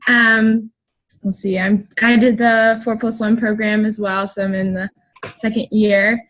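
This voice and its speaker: A woman's voice: medium pitch, level tone, measured pace, fairly bright tone, low-quality recording. A young woman from Florida, a graduate student introducing herself in class, bubbly and a little hesitant, giggling. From Florida, USA.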